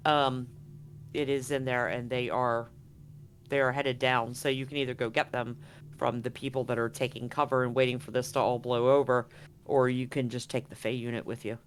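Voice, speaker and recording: A game master improvising narration for a tabletop game, with dramatic pauses and drawn-out emphasis. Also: medium pitch, flat delivery, a little hiss or hum.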